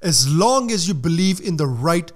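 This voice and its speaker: A man's voice: a little high, sing-song, moderate pace. A man with an Indian English accent hosting his own channel, delivering a sarcastic scripted commentary rant with pointed repetition. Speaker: YouTube commentator, host.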